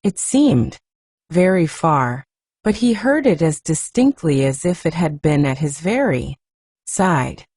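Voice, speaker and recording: A woman narrating an audiobook as a soothing bedtime story, in a soft, measured, hushed manner with lingering pauses. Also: deep voice, expressive, breathy edge, dry recording, studio quality.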